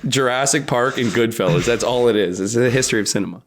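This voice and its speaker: A man's voice: medium pitch, fast talker. A man co-hosting a casual podcast over a remote call, laughing often, swearing freely and rambling. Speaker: podcast co-host.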